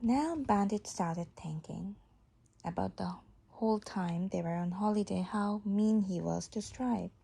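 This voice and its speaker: Husky, mellow-voiced woman reading a storybook aloud. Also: mid-range voice, measured pace. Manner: expressive, explanatory, checks the listener follows. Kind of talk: informal read-aloud storytelling with asides.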